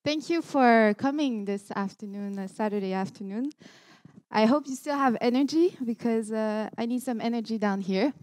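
A woman's voice: on the high side, normal speed, darkish tone, slight background noise. A young woman from Montreal with a Quebec French accent, giving an earnest keynote talk with many ums and restarts, recorded on a headset mic in a large lecture hall. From Montreal, Canada. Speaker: keynote speaker. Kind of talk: conference talk.

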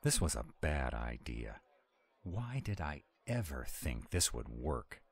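Man acting a very deep-voiced grumpy were-dragon. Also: big pitch swings, slow, full character voice. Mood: panicked grumbling. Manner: gruff, exasperated, drawling. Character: grumpy, anxious male were-dragon restaurant owner. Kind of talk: audio roleplay acting.

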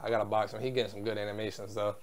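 A young man with a hoarse voice, an esports player making quick, repeated team callouts over a headset. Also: fairly low pitch, flat delivery, a little hiss or hum. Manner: quick clipped calls, repeats himself. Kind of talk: team callouts during play.

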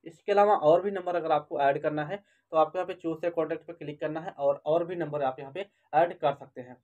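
A man's voice: on the high side, steady tone, a little fast, darkish tone, highs cut off. A man narrating a step-by-step phone tutorial, repeating instructions and addressing viewers as friends. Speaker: tech tutorial YouTuber and host.

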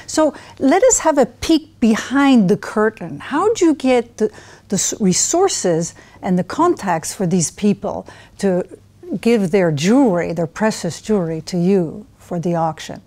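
Female interview host, warm middle-pitched voice, gracious and complimentary. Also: expressive, unhurried. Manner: complimentary, leading questions. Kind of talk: studio interview questions. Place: TV studio set. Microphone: lapel mic.

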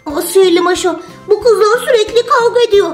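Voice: very high voice, brisk, soft background music. A performer voicing a friendly, placating bear in a children's toy play story, with an exclaiming manner. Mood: relieved, polite.

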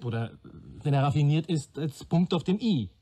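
An actor playing a keen young police trainee in a German radio play, arguing in a wry, sardonic way. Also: male voice, on the high side, animated, moderate pace, mellow. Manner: wry, argumentative.

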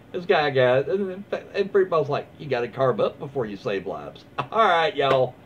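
A dull, noisy home recording of a man reading online stories aloud. His pitch moves around a lot as he slips between reading and easygoing, rambling commentary. He sounds folksy and casual, like a host chatting from his own room. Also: expressive, takes it slowly. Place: small room at home, indoors. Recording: dull lo-fi sound with clear background noise.

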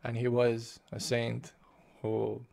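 Slow, mellow-voiced young man. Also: medium pitch, monotone, gravelly. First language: Romanian. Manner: passionate, gestures with both hands, restarts phrases. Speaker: book reviewer. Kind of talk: casual spoken book review. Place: small bedroom at home. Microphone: desk microphone in front of face, webcam framing.

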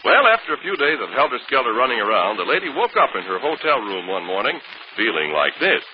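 A man's voice: medium pitch, sing-song, fast talker. A man announcing in a folksy, storytelling 1940s radio commercial style. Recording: muffled, faintly noisy old radio broadcast.

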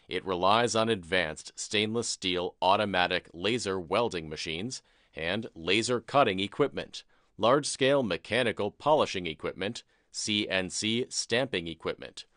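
Low-voiced man, dry corporate narration. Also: sharp tone, no echo. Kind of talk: scripted promotional narration.

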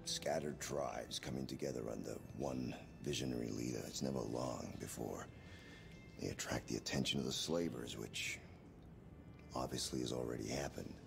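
An actor playing a gruff, world-weary muscular survivor in a video game, blunt and sardonic, with a gravelly voice. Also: male voice, rumbling low, moderate pace, noisy background, heavily acted. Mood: cynical. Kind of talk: video game cutscene acting. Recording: music behind the voice. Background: music.

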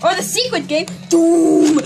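Woman doing a squeaky giddy teddy bear. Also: a little slow, gravelly, music in the background, full character voice. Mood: chatty. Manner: rambling, squeals and laughs often. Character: excitable young male teddy bear gamer. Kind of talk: homemade plush puppet vlog.

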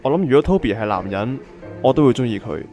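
A man's voice: mid-range voice, animated, fast talker, darkish tone, soft background music, natural voice. A man playing a straightforward, easygoing young man in an amateur radio drama. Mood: matter-of-fact.

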